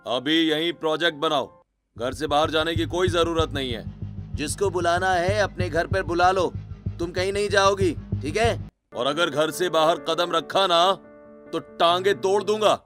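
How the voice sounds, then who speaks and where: A man's voice: fairly high pitch, measured pace, a bit bright, faint music behind. A man voicing a strict middle-aged father in a cartoon story, stern and commanding. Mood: threatening.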